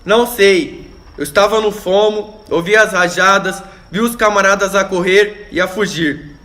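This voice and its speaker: A man's voice: high-pitched, steady tone, a little slow, sharp tone. A man playing an exhausted, alarmed guerrilla fighter reporting in short phrases in an amateur radio drama. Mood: shaken, reporting. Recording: echoey room with faint noise.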